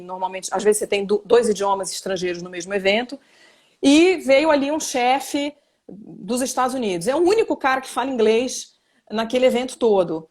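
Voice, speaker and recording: A Brazilian woman who works as a simultaneous interpreter and journalist, explaining her work in a casual live interview with examples and self-corrections. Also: mid-range voice. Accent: Brazilian Portuguese. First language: Portuguese. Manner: reflective, explains with examples and restarts.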